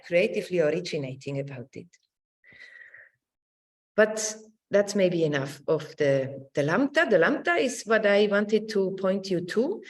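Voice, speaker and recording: Female lecturer, middle-aged, lowish pitch, halting and searching delivery over a video call. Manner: halting, searching for words, repeats phrases, tag "no?". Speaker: academic lecturer. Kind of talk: academic online talk with shared slides.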